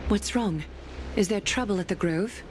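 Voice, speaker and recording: An actress voicing a calm, reassuring, earnest woman in an anime video game, with loud music over the voice. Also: mid-range voice, moderate pace, lightly acted. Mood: concerned.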